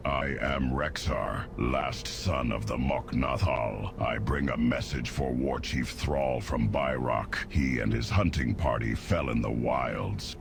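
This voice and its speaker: A man playing a stoic, weary half-orc wanderer in a fantasy game cutscene, solemn and weighty in delivery, with a gravelly voice. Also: very deep voice, takes it slowly, few pauses, noisy background, full character voice.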